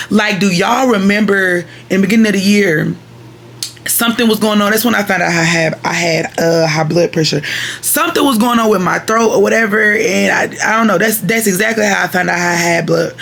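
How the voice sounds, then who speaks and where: Woman vlogger, raspy and gravelly, rambling and chatty. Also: medium pitch, moderate pace, fairly bright tone, slight background noise. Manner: rambling, chatty, direct with viewers. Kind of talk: casual chat to viewers. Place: at home at her vanity.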